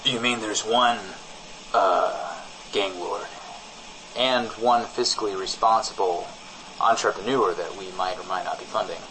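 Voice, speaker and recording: A man playing a touchy, shifty small-town broadcaster who feigns innocence, deadpan and repetitive in improvised comic banter on a studio mic. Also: lowish voice, a little slow, a little hiss or hum, low-quality recording. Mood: mock offended. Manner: deadpan, evasive, emphatically repetitive. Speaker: co-host of a fictional small-town news show.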